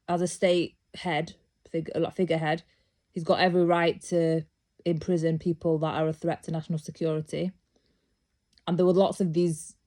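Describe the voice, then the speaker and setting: A British woman speaks in a fairly low, warm voice, talking straight to her viewers. She rambles, restarting sentences often. She turns insistent and emphatic as she presses her points. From Britain. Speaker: vlogger. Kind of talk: casual opinion monologue.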